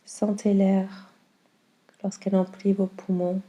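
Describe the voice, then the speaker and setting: Calm woman doing guided relaxation, soft dark mellow voice, pretty even tone, lots of pauses. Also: medium pitch. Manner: calm, soothing, unhurried with long pauses. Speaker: yoga nidra guide. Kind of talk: slow guided meditation.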